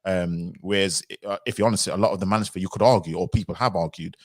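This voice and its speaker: Low-voiced man hosting a casual discussion. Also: quick pace, dry recording, clean studio sound. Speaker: livestream host.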